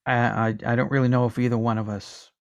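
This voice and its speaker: A man's voice: mid-range voice, level tone, quick pace, creaky. A man co-hosting a casual music podcast, joking around and reading out listener comments. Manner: jokey, reads listener comments aloud. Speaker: podcast co-host.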